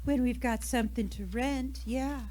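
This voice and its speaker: An actress playing a flippant, bored rental agency clerk in a radio play, offhand and wisecracking. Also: female voice, fairly high pitch, normal speed, dark tone, slight background noise, lightly acted.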